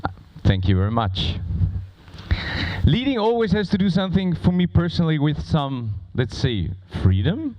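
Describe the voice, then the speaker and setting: A man who is not a native English speaker moderating a conference on stage, talking informally and playfully with a loud, projecting voice. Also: fairly high pitch, big pitch swings, normal speed, lo-fi sound. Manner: playful, bantering with audience. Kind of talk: informal live stage presentation. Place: conference stage before a large audience.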